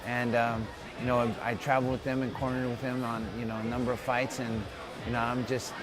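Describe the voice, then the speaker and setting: A man's voice: medium pitch, flat delivery, fairly quick, music in the background, rough recording. A man whose first language is Tagalog, a fighter answering interview questions in a loose, upbeat way, full of "you know" and "man.". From Philippines. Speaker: mixed martial arts fighter, interviewee. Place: gym.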